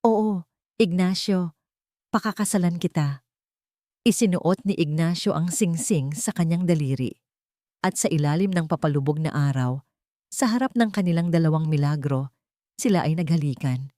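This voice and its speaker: Low-voiced woman narrating a story. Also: moderate pace, dark tone, no room sound, clean studio sound. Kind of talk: scripted dramatic story narration.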